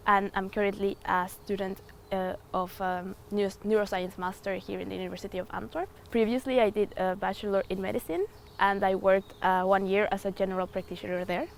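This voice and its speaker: Woman, 20s; thoughtful interview answers with fillers, average pitch, slight background noise. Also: fairly even intonation, brisk, creaky. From Ecuador. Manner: thoughtful, hesitant with frequent fillers. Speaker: student.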